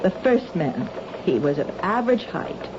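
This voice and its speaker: A distraught, pleading wife on noisy radio. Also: female voice, fairly low pitch, very animated, moderate pace. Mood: worried. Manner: emotional, pleading, protesting. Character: distraught married woman, confessed kleptomaniac, pleading and anxious. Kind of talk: 1970s radio drama acting. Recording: dull, noisy old radio recording.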